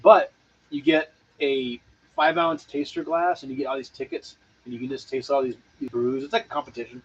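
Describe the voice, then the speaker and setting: A man with a husky, slightly breathy voice talks over dull, lo-fi stream audio. His tone is laid-back and rambling, and he trails off into fillers as he plans things out loud. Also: mid-range voice, animated, brisk. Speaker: co-host of a homebrewing stream. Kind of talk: casual chat.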